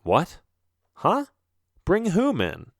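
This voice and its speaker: A man's voice: sits high, huge pitch swings, drawn-out words, no room sound, clean studio sound, pitched above the performer's own voice, full character voice. A man voicing an earnest, lovestruck young prince in a storybook, sincere and hesitant. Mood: surprised.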